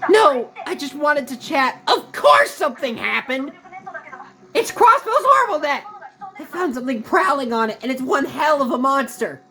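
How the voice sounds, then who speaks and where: A woman voicing a brash, excitable young hacker in a video game, blunt and sarcastic. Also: sits high, normal speed, voice pushed up, full character voice, low-quality recording. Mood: exasperated. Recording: music behind the voice.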